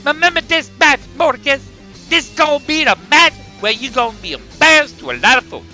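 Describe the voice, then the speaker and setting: High-voiced, brash grizzly pimp wrestler. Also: male voice, animated, fairly quick, music in the background. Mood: boastful. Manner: boastful, taunting, slangy. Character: brash trash-talking male grizzly bear pimp wrestler.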